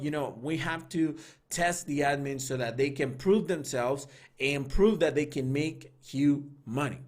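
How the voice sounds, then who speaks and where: A man hosting a trading signals livestream, talking casually and loosely with many fillers and restarts, and reading out the live chat. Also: on the high side. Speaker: trading signals group host.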